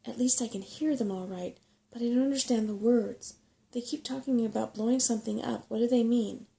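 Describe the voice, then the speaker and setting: A woman voicing a crabby, sharp-tongued female brownie from a storybook, complaining and scornful. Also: fairly high pitch, brisk, vocal fry. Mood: uneasy. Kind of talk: storybook character voice in a soft-spoken reading.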